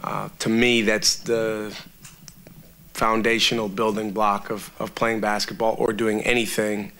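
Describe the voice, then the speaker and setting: Coach guy in his 30s at a press table, lowish flat voice but bright tone, lots of uh you know. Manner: measured, steady, many "uh, you know" fillers. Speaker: college basketball coach. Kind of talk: post-game press conference answers. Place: press room before a sponsor backdrop. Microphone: table microphone.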